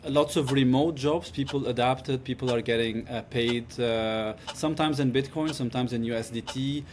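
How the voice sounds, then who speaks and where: A man from Lebanon giving casual interview answers, pausing often as he finds his words. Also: medium pitch, a little mellow, slight background noise. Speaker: interview guest.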